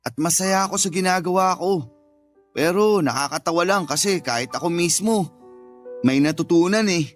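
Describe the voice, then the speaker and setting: Actor as high-voiced, devoted younger brother. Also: male voice, normal speed, faint music behind, natural voice. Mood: earnest, reflective. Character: devoted, selfless younger brother, a gentle schoolteacher. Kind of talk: sentimental radio drama dialogue.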